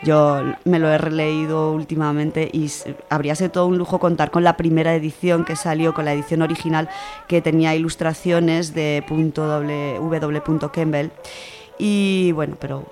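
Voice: medium pitch, level tone, moderate pace, darkish tone. A person with an accent from Spain giving an informal, colloquial book review on the radio, explaining things in a conversational way. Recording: music under the voice. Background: music.

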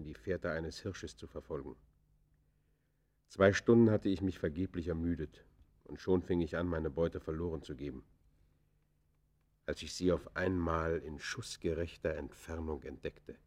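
An actor playing an embittered outlaw poacher, confessing in the theatrical, literary manner of a classic German radio play. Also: male voice, deep voice, fairly even intonation, a little slow, darkish tone. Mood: tense, haunted.

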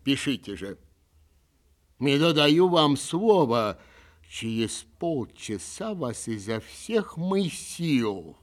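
A man's voice: mid-range voice, very animated, slow pace. A man voicing a pompous, menacing colonel who interrogates with unctuous fatherly sorrow, in theatrical verse recitation.

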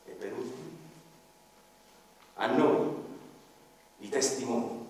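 A man's voice: mid-range voice, slow pace, slight background noise, lightly acted. A man playing an ordinary man mourning his lost village, echoing through a hall. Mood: bitter. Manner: lyrical, dramatic swings from loud to hushed. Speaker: actor performing a radio-theatre monologue. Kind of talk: theatrical first-person monologue with violin accompaniment. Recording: echoey hall from far off, with violin.

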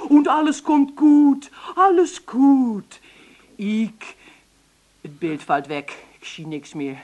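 An actress playing a phony mystical fortune-teller, speaking in dramatic broken phrases for a comic radio play. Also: female voice, high-pitched, very animated, warm tone, a little hiss or hum, exaggerated voice. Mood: solemn, portentous. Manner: slow, dramatic, broken sentences with repetition. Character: phony mystical fortune-teller Madame Veritas, theatrical and gloomy.

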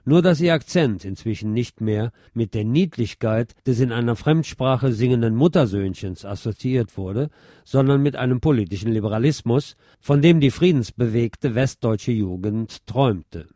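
A man delivers scripted narration in a measured, bookish way. His voice is mellow and relaxed, at a middling pitch. The recording is very dry and close. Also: no room sound. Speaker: narrator reading an essay on German music history. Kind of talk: scripted narration, reading aloud.

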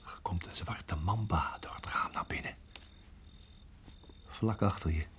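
A man acting in a radio play as a protective husband, speaking in a halting, urgent hush. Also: low voice. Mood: tense, hushed. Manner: hushed, halting, urgent whispering. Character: tense, protective husband guiding his endangered wife.

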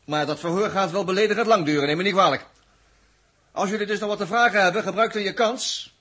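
A man's voice: high voice, fast talker, bright, forceful tone, long reverb tail. An actor playing a bold male space traveller bluffing as a stern inspector in a 1960s radio play. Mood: commanding. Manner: commanding, emphatic, rising to stern orders. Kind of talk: 1960s radio drama acting.

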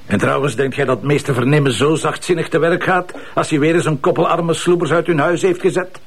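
An actor with a Flemish accent playing an irreverent, sardonic police commissioner in a TV crime drama, talking in a wry, colloquial way. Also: male voice, on the high side, a little fast, slightly rough. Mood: mocking. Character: irreverent, sardonic male police commissioner needling his superiors. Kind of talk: naturalistic TV crime drama acting.

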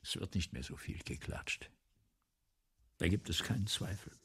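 An actor playing a vain, aggrieved aging French playwright in a radio play, husky and gravelly, with a brooding, self-important delivery. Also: male voice, low voice, animated, normal speed, a bit bright, exaggerated voice. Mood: wounded, brooding. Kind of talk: 1970s German radio play acting.

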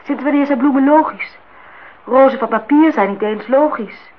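A woman's voice: high-pitched, normal speed, natural voice. A woman acting in a radio play as a defiant, idealistic young student, earnest and outspoken. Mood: insistent. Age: young adult. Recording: dull, noisy old radio recording.

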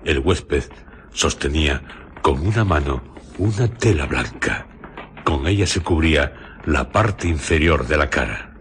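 A man narrating a radio drama in a scripted literary style, delivering it in measured, separate phrases. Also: extremely low pitch, lively intonation, fairly bright tone, a little hiss or hum.

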